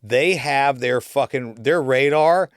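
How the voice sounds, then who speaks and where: A man's voice: mid-range voice, slow, smooth, dry recording, clean studio sound. A man in a casual podcast chat, talking in a slangy, emphatic way and often checking that the listener follows. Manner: slangy, emphatic, often checks with "you know what I'm saying". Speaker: podcast guest or co-host talking about real estate work.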